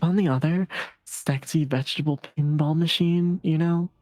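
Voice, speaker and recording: A woman's voice: low-pitched, fairly even intonation, normal speed, mellow, no room sound, faint music behind, close to an everyday voice. A woman voicing an eager, cheeky protagonist in a comedy game, playful and dramatic. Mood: mock dramatic. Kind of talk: voiced reading of comedy game dialogue.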